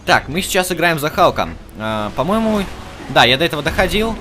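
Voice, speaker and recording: A man's voice: on the high side, very animated, a little fast, bright, forceful tone, faint music behind. A man giving casual let's play commentary as host, chatting to the game's characters and to his viewers. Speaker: gaming video host.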